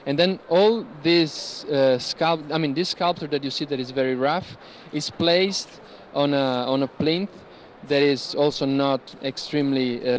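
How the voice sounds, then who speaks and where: A man with a mid-range voice speaks as a curator leading an informal tour. He explains artworks to visitors in a conversational way. There is faint noise from a busy space behind him. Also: mid-range voice. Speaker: curator guiding visitors through an art fair. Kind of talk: informal guided art tour talk. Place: large indoor art fair among gallery stands. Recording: noisy fair hall ambience behind voice. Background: busy fair hall background noise.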